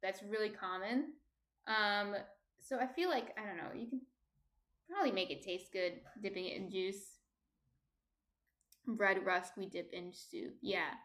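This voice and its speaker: A soft-spoken woman in her thirties with a New Jersey accent, casually chatting and answering viewer comments on her stream. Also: mid-range voice, a little slow, long pauses. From New Jersey, USA.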